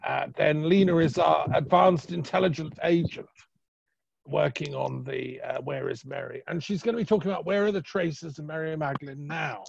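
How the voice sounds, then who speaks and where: Gravelly, raspy man hosting online. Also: a little high, dry recording. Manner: halting, often breaks off and restarts. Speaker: conference host introducing a speaker. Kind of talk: informal spoken introduction. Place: online video conference. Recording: muffled, dull online call audio.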